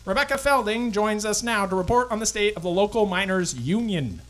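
A woman's voice: mid-range voice, animated, a little fast, close to an everyday voice. A woman playing an older male 1920s radio newsreader with a formal, declamatory delivery. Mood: formal, measured. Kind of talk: old-time radio news acting.

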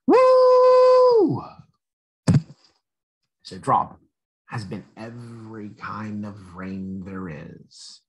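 A man's voice: low voice, steady tone, slow, fairly bright tone, breathy edge, muffled recording. A man reading a children's picture book aloud expressively, addressing young listeners with questions and asides. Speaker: read-aloud storyteller.

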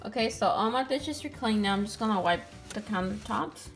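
A woman's voice: mid-range voice, expressive, fairly quick, creaky. A young woman casually narrating doll-play cooking in a sweet, chatty way, speaking to her viewers and a doll. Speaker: doll channel host. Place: toy kitchen indoors. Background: background music.

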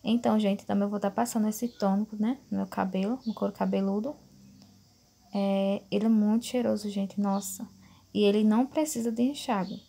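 A woman's voice: medium pitch, steady tone, brisk, gravelly, warm tone, slightly rough. A woman in her thirties from Brazil, talking viewers through her hair-care routine in a chatty, casual way, often asking for agreement. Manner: chatty, addresses viewers as "gente", many fillers like "né". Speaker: beauty vlogger. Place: small bedroom at home, indoors.

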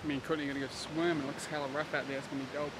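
A man's voice: on the high side, flat delivery, racing, loud music behind the voice. A young man chatting casually with slang and the odd swear, filming himself with a handheld camera. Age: young adult. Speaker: travel vlogger. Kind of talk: casual travel vlog. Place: outdoors on a beach and trails, then a small room indoors. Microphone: handheld camera at arm's length. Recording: noisy handheld outdoor audio.

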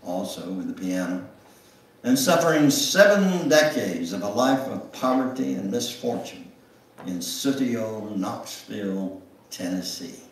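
The recording is very reverberant and a little distant, as in a church. An older man reads a memoir dramatically. His delivery is slow and deliberate, at a middling pitch. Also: animated, slight background noise. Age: older, 70s or above. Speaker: guest speaker reading his memoir. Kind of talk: dramatic memoir reading to a congregation. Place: church sanctuary, standing at music stand. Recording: echoey church room, distant microphone.

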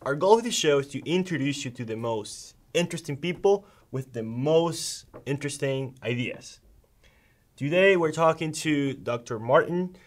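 A man's voice: fairly high pitch, lively intonation, moderate pace. A young man hosting a student talk show, reading a scripted welcome and then asking casual questions. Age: young adult. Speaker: host. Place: studio with red curtain backdrop.

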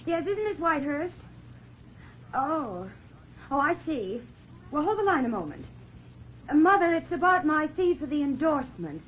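An actress playing a poised, direct, practical young society fiancée in a 1940s radio drama. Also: female voice, sits high, very animated, moderate pace, pauses often, slight background noise. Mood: businesslike. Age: young adult. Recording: tinny 1940s radio recording.

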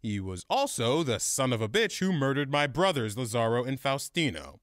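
A woman's voice: a bass voice, sing-song, normal speed. A woman playing a menacing, vulgar male mobster with a mocking, swaggering manner in a crime comedy audio drama.

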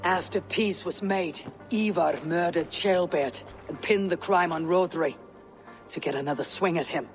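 An actor playing a grim, blunt Viking raider in a game cutscene. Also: male voice, high voice, soft background music. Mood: steady. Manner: blunt, measured. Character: stern male Viking raider, grim and steady. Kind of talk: video game cutscene acting.

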